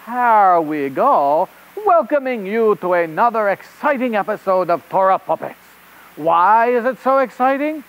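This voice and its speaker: A performer voicing an excitable, easily flustered rabbit reporter puppet in a children's show, with a theatrical delivery and a put-on French accent. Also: mid-range voice, measured pace, poor audio. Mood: excited. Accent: fake French. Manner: theatrical, exclamatory.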